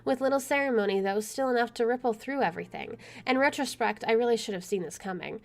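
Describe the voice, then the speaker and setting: A woman's voice: on the high side, fast talker. A woman streaming a game, reading visual novel narration aloud and adding casual asides. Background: soft music.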